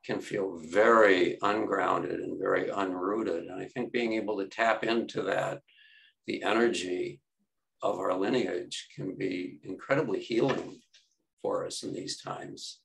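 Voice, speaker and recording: A man's voice: low voice, measured pace, gravelly, a bit bright. A man giving a spontaneous, reflective comment in a group discussion, on a video call from a small office. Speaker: discussion participant. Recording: slightly distant video-call microphone.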